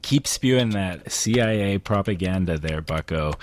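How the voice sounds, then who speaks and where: A man's voice: low voice, lively intonation, normal speed, heavy background noise. A man playing a smug, condescending Marxist-Leninist arguing online with dry sarcasm in a satirical radio theater sketch. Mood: smug, patronizing.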